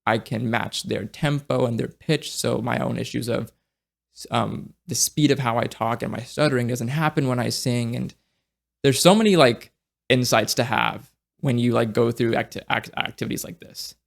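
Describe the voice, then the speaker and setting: A young man speaks in a relaxed, conversational way. His voice is gravelly and full of vocal fry at a middling pitch, and he often trips over his words as he thinks aloud. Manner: halting, with many restarts and fillers; reflective, searching for words. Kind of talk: casual conversation.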